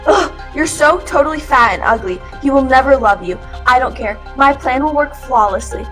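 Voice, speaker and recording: Radio play antagonist: snide, insulting female schemer woman acting, raised pitch, light music underneath. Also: expressive, moderate pace, fairly bright tone. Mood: snide, scheming. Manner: haughty, insulting. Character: snide, scheming mean-girl twin sister.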